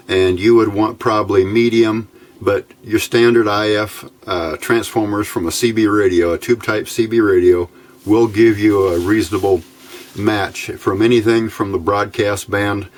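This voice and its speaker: An American man giving an informal technical tutorial as a hobbyist host, plainspoken and a little hesitant. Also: fairly low pitch.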